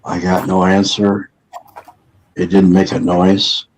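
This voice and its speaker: A man with a deep, gravelly voice, recalling a memory haltingly while under hypnotic regression. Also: completely flat delivery, a little hiss or hum, low-quality recording. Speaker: regression subject.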